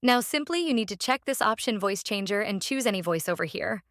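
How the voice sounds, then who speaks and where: A woman's voice: medium pitch, expressive, brisk, a bit bright, no echo. Woman walking through a tutorial, dry sound. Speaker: tutorial presenter. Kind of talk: casual software tutorial narration.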